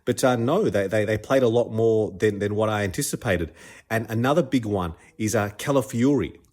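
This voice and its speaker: A man talks through his solo podcast, his voice a little congested from a cold. He sounds keen and chatty, tripping over restarts and repeating words as he goes. His voice sits on the lower side. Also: normal speed, darkish tone. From Australia. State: stuffed up with a cold. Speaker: podcast host. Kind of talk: casual solo podcast commentary.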